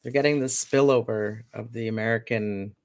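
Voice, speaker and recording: A woman's voice: very deep voice, steady tone, moderate pace, a little mellow. Blunt, sarcastic woman co-host. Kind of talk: casual podcast chat.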